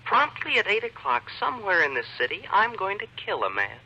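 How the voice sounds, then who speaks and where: A man playing a menacing anonymous killer, speaking deliberately over a telephone in an old radio thriller. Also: high voice, wildly swooping pitch, dull audio, noisy background, full character voice. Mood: cold menace. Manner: deliberate, threatening. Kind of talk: 1940s-50s radio thriller acting.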